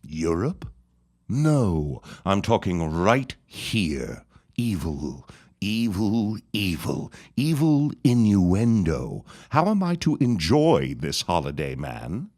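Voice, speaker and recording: A deep-voiced scheming reverend. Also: male voice, big pitch swings, a little mellow, full character voice. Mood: indignant. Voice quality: deep, slow, drawn-out menace. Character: scheming sinister small-town reverend, gloating. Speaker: Reverend Gaumont. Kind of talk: radio drama acting.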